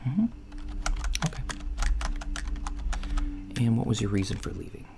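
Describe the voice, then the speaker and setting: A man playing a laid-back alien job interviewer in a soft-spoken ASMR roleplay, hesitant and matter-of-fact, with keyboard typing behind him. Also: fairly low pitch, very animated, unhurried, vocal fry, full character voice. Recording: faint noise, lo-fi ASMR, keyboard sounds. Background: computer keyboard typing and clicks.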